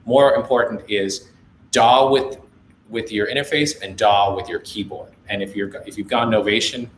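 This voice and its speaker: A man's voice: lowish voice, normal speed. Host, young adult man, American accent, easygoing advice from a home studio, roomy, faint hiss. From India. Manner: relaxed, reads viewer comments aloud, then gives friendly gear advice. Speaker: livestream host, music producer. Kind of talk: casual live chat answering questions.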